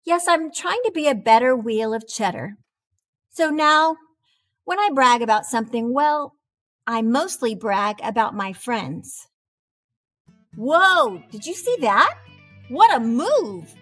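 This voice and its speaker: Casting: female reader as a proud talking cheese narrating a storybook, high-pitched, theatrical, unhurried. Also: soft background music, exaggerated voice. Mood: upbeat. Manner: theatrical, expressive, deliberate delivery. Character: proud, dramatic cheddar wheel learning humility, storybook narrator. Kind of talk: animated children's storybook read-aloud.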